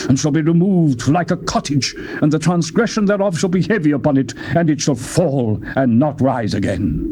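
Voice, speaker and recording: A man's voice: a little high, warm tone. A man giving a solemn, declamatory dramatized reading of scripture, rising to fervent peaks. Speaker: Bible reader.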